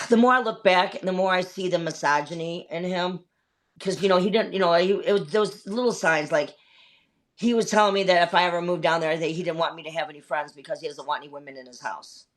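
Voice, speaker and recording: A woman in her fifties hosting a casual chat, telling stories candidly, swearing often and rambling with many fillers. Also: fairly low pitch. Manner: candid storytelling with frequent swearing. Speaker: host.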